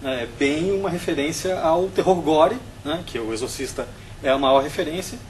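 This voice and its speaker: A Brazilian man on a film review panel, explaining his views in a steady, analytical way. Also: fairly high pitch, moderate pace, a little hiss or hum.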